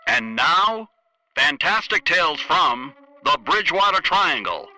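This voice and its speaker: A man performs a grand vintage radio announcer with a piercingly bright, high voice. He draws words out slowly and theatrically, in the style of a 1940s broadcast pitch. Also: big pitch swings, faint music behind, heavily acted, rough recording. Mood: grand, hearty. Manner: theatrical, drawn-out delivery. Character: booming old-time radio show announcer and ad pitchman.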